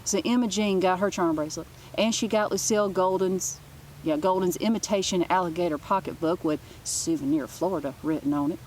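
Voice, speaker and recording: A woman's voice: lowish voice, a little fast, a little mellow, a little hiss or hum, poor audio. A woman reading a children's book aloud as a storyteller, in an informal manner. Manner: informal, with asides.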